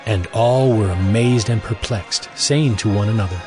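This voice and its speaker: Low-voiced male scripture narrator over music. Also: normal speed.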